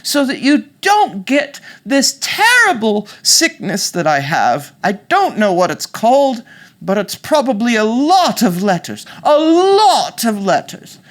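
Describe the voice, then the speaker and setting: Shrill wailing British wolf-as-grandmother. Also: sing-song. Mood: insistent, wailing. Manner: wailing, drawn-out, pleading. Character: wolf pretending to be a sick old grandmother.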